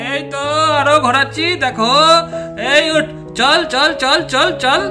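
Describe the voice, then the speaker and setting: A man's voice: very high-pitched, fairly even intonation, unhurried, over music. A man voicing an eager village camel driver in a doll story, haggling and reassuring, often repeating short phrases. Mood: urging, cheerful. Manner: repeats short calls and urgings. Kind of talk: amateur doll-story voice acting.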